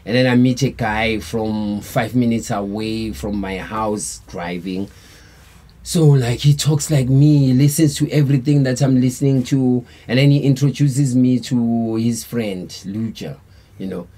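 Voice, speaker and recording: A man's voice: medium pitch, a little slow, dark tone. A South African man, a band member, telling a long story in a laid-back, rambling way with lots of slang fillers. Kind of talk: casual storytelling interview.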